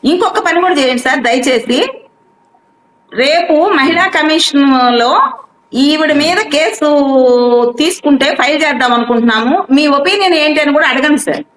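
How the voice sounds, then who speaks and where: A woman's voice: high voice, normal speed, a bit bright, roomy sound, a little hiss or hum, rough recording. A woman giving her earnest opinion as a caller, deferential and pleading, often saying sir. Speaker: guest caller on a live show. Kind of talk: earnest opinion on a live call-in.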